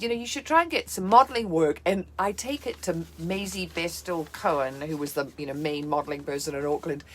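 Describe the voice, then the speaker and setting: A woman in her fifties from New Zealand with a New Zealand accent, a co-host and former actress telling animated stories in casual chat. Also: on the low side. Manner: animated, laughing storyteller.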